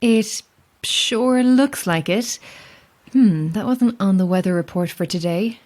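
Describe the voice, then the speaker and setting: An actress playing a chatty, self-deprecating lighthouse keeper in an audio drama, friendly and a little wistful, with hesitant asides. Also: female voice, mid-range voice, takes it slowly, darkish tone, close to an everyday voice. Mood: friendly, attentive. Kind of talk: naturalistic audio drama acting.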